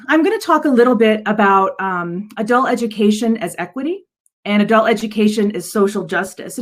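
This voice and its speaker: A woman's voice: fairly high pitch, a bit bright. A woman giving an earnest slide presentation on a video call as a director, with a hesitant delivery. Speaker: adult education director presenting. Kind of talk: virtual slide presentation to an audience. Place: virtual event on video call.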